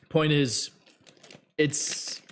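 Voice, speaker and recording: A man hosting a trading show, giving blunt, rambling advice to viewers with many fillers, his voice getting hoarse. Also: on the high side, slight background noise. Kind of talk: casual advice talk to viewers.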